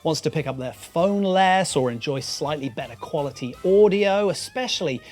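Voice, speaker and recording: A British man presents a video essay in a fairly high voice that rises and falls with lots of animation. His delivery is scripted yet conversational, full of dry, self-mocking asides. Soft music plays behind him. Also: animated, moderate pace. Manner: wry, playful, self-deprecating asides. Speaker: YouTube host.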